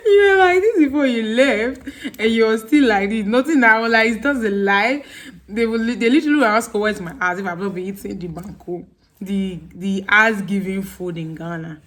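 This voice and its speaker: A woman's voice: medium pitch, normal speed, fairly bright tone. A young woman with a Nigerian accent, chatting casually to camera on her vlog. She acts out her friends' questions with laughter. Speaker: vlogger.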